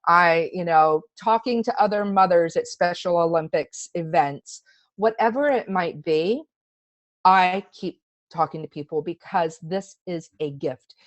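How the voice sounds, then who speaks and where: Earnest woman with a lowish, dry-recorded voice. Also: unhurried, dry recording, highs cut off. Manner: earnest, emphatic, chatty. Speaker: testimonial speaker. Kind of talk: casual personal testimonial.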